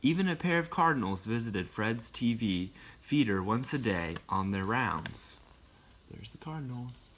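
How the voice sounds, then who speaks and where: A man with a bright, forward voice reads a children's picture book live. He tells it expressively, in the casual way of someone sharing a favorite book. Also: mid-range voice, animated, measured pace.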